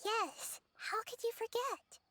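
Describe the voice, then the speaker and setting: A woman's voice: very high voice, expressive, takes it slowly, full character voice. A woman voicing a kind, wise little girl deity in a video game, speaking with earnest, thoughtful care. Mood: curious, thoughtful. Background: faint music.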